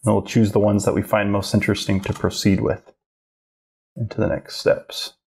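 A man's voice: fairly low pitch, flat delivery, normal speed, vocal fry, darkish tone, breathy edge. A man in his thirties thinking aloud as he demonstrates digital drawing, casual and rambling. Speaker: art instructor. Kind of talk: live drawing demo narration. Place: home studio office.

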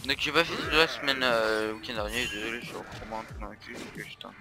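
A man commenting casually on his own game, excitable, swearing often and repeating himself. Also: on the low side, quick pace. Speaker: gamer and video maker. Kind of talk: casual live gameplay commentary. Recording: voice over loud game music.

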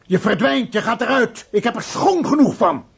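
An actor playing a harsh, contemptuous old notary in a Dutch radio drama, with a rough voice. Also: male voice, very high voice, animated, moderate pace, a bit bright, full character voice. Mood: scornful, angry. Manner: contemptuous, scolding. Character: harsh old notary firing his housekeeper.

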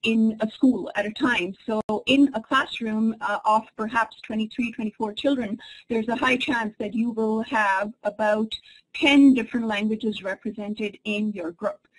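A woman whose first language is Urdu delivers an academic webinar lecture into a computer microphone. Her voice sits a little high and carries a husky, breathy edge. She explains things in a measured, step-by-step way. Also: fairly even intonation, low-quality recording. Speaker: associate professor presenting a webinar. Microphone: computer microphone in an online webinar.

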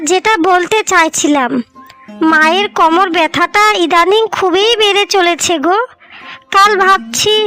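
A woman's voice: very high-pitched, pushed and bright, exaggerated voice. A woman voicing a scheming young wife, one of twin sisters, in a cartoon, sweet-talking one moment and curtly rude the next. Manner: sly, sweet-talking, then rude and lazy. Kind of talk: dubbed cartoon voice acting.

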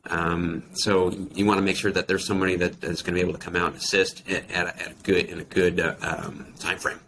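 A man's voice: low-pitched, level tone, fast. A man in his forties with an American accent, explaining things conversationally as an industry guest on a video call, piecing his thoughts together. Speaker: industry expert guest, account manager. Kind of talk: casual explanatory interview talk. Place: small plain indoor office. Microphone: video call computer microphone. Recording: clear background noise on video call.

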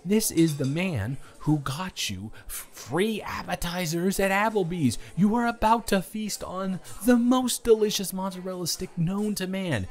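A man's voice: high voice, animated, unhurried, few pauses, pitched above the performer's own voice. A young man playing a shy, smitten date in an improvised romantic audio roleplay, hesitant and stammering, both teasing and earnest. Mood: playful, teasing.

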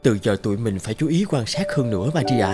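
A man's voice: medium pitch, expressive, fast, loud music behind the voice, natural voice. A man voicing an anxious, polite young female shop clerk in earnest cartoon dialogue. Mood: attentive. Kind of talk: cartoon moral-tale dialogue.

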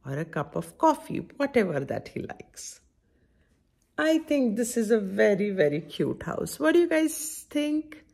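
A middle-aged woman hosts a children's story time with a very lively, swooping delivery. She takes her time, in a fairly high voice, and keeps turning to the young listeners with questions as she reads. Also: a little slow, gravelly. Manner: expressive, questioning, addressing children directly. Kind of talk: read-aloud picture book storytime for young children. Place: indoor room at home by a window.

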